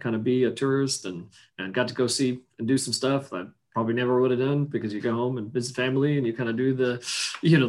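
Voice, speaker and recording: A man in his forties from Iceland with no accent left, a business owner chatting loosely as an interview guest, full of fillers and restarts. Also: mid-range voice. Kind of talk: casual interview chat.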